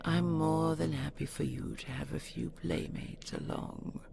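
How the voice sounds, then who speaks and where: A woman playing a possessive, seductive dragon queen in an intimate audio roleplay, slow, doting and imperious, with a breathy, gravelly whisper. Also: low voice, steady tone, dark tone, a little hiss or hum, exaggerated voice. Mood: commanding, doting.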